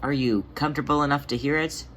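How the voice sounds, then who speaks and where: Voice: medium pitch, fairly even intonation, quick pace, a bit bright, slight background noise, pitched above the performer's own voice, lightly acted. A narrator voicing a caring female cat healer in a careful, hesitant, reassuring way.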